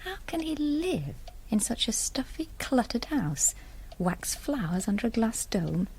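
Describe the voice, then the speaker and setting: A woman playing a brisk, confident, commanding farmer's wife in a radio drama. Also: fairly high pitch, very animated, a little mellow, breathy edge, heavily acted. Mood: private disdain.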